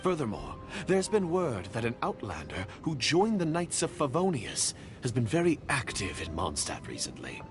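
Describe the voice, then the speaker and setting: An actor playing a wary, condescending secret agent, a villain in a fantasy game, who talks in a measured, disdainful and coolly menacing way. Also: male voice, mid-range voice, huge pitch swings. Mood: suspicious. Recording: game music behind voice.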